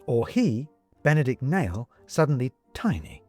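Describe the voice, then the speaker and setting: A man narrating a scripted story as an audiobook reader, with wry, deliberate, emphatic phrasing. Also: mid-range voice, very animated, mellow, breathy edge, soft background music.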